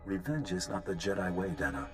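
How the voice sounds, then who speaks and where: A wise, patient creature over music. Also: male voice, deep voice, expressive, a bit bright. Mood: patient, grave. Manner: measured, formal. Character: wise patient male mutant creature, gentle Jedi teacher. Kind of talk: video game cutscene acting. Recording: music behind the voice.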